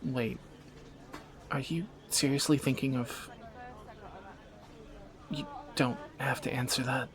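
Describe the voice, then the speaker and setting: A man playing a teasing, protective captor turned lover in a romantic fantasy audio roleplay, bantering and soft-spoken. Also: mid-range voice, fairly even intonation, slow pace, long pauses, a crowd in the background. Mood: worried, tender.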